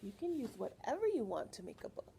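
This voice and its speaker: A woman reading a picture book aloud to children, expressive, pausing to ask questions and explain like a teacher. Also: mid-range voice, vocal fry, slight background noise.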